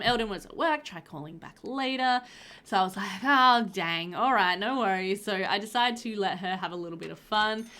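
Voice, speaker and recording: A woman in her thirties narrates her gameplay in a loose, chatty way, laughing often. Her nose sounds slightly stuffed up. She talks into a headset at a middle pitch. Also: fairly bright tone. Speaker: gaming channel host. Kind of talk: casual gameplay voiceover.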